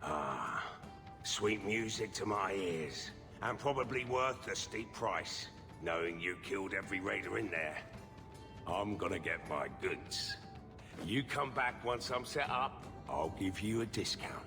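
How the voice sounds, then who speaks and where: A shifty smuggler in a fantasy game, with a low-pitched voice. He sounds evasive and put-upon, over clearly audible music. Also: animated, a little slow, exaggerated voice. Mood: smooth, persuasive. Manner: defensive, exasperated. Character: shifty, aggrieved male smuggler, evasive and self-pitying. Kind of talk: video game dialogue acting.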